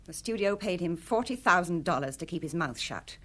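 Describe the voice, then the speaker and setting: A woman's voice: lowish voice, animated, a little fast, fairly bright tone. A woman playing a retired film star in a radio drama, imperious and defensive, with formal, troubled delivery. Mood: confessional, troubled.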